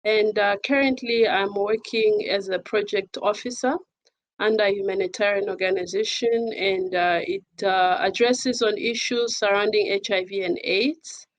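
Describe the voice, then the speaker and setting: Woman advocate talking on a panel, measured n formal, bit hesitant, mid pitch, very dry sound. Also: fairly even intonation, no room sound. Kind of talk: panel talk introducing herself and discussing.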